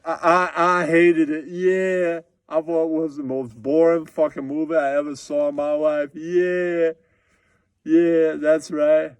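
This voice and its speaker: A man's voice: fairly high pitch, flat delivery, measured pace, a little mellow, clear, resonant tone, no echo, natural voice. A man voicing a scornful, crude male puppet movie critic, blunt and repetitive. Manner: blunt, repetitive, punctuated with yeahs.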